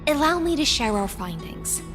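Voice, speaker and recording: An actress playing a calm, formal female knight in a game. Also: high voice, moderate pace. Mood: composed. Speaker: game character. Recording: game cutscene with music underneath.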